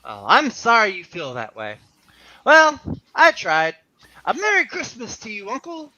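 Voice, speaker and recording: A man plays a good-hearted, jovial nephew in a radio-play reading. His voice is high and bright with wildly swinging intonation, theatrical throughout, captured on a slightly muffled recording. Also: huge pitch swings, a little slow, slight background noise, full character voice. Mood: hearty, good-natured. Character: cheerful, good-hearted nephew of a miser, Fred.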